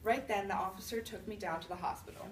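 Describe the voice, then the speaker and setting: A woman playing an indignant old widow accused of being a witch, telling her side of a fairy tale in a conversational, halting monologue. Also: mid-range voice, close to an everyday voice. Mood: offended, bitter. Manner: conversational, self-justifying, with halting restarts. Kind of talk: first-person storytelling monologue. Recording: roomy, distant mic with faint noise.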